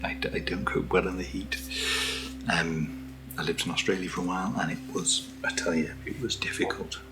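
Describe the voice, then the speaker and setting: A British man speaking in a soft, breathy near-whisper as he hosts a casual ASMR video, gentle and unhurried, close to the microphone. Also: deep voice, level tone, background noise. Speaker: ASMR video host reviewing camera gear. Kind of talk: soft-spoken ASMR, casual unboxing chat.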